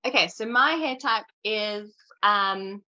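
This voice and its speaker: A young woman with a Queensland Australian accent, chatting as an interview guest and telling stories with animated energy. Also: medium pitch, slow, muffled recording. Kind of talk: casual chat.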